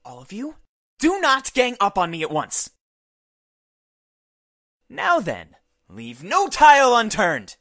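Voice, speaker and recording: A woman's voice: fairly high pitch, huge pitch swings, slow pace, pauses often, fairly bright tone, no room sound, studio quality, full character voice. A woman playing a formal, imperious female commander in a visual novel, giving exacting, scolding orders. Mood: stern, commanding. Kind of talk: visual novel character acting.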